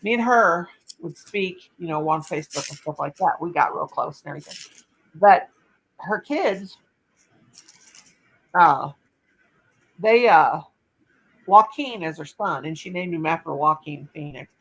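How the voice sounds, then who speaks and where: Older woman host, casual laptop chat, rambling storyteller, lowish voice. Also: a little slow, long pauses, slightly rough, rough recording. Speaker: channel host.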